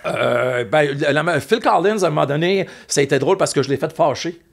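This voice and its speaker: A man with a Québécois French accent telling animated, rambling anecdotes in a casual chat. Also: fairly high pitch, fairly quick, creaky. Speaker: guest, former music TV host.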